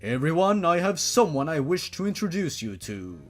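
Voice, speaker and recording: A man voicing a solemn father sorcerer in a theatrical fan fiction reading, given to slow, booming incantations. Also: sing-song, faint music behind. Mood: grave, fond. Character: solemn father sorcerer chanting resurrection spells.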